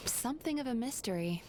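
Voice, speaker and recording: A woman playing a composed, earnest young therapist in a video game, speaking in a measured, polite, reflective way. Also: a little high, animated, a little hiss or hum, close to an everyday voice. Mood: wry, reserved.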